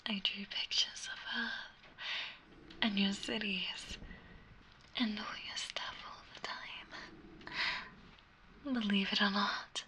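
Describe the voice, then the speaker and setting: Casting: woman as a young alien girl for ASMR roleplay, breathy whisper, slow and intimate, friendly with a gentle tease, close binaural mic. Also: medium pitch, low-quality recording. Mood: soft, encouraging. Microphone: close binaural 3Dio microphone.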